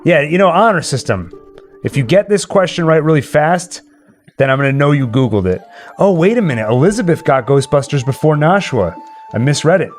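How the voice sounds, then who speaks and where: A man's voice: a little high, expressive, fairly quick, faint music behind. A man hosting a livestream party, chatting casually, reading out the chat and running trivia. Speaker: livestream party host and quizmaster.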